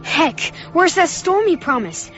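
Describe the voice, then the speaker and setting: A woman's voice: very high-pitched, very animated, unhurried, fairly bright tone, faint music behind, full character voice. A woman playing a bold, defiant, thrill-seeking young boy in a radio drama. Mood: excited. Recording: muffled, noisy radio drama recording.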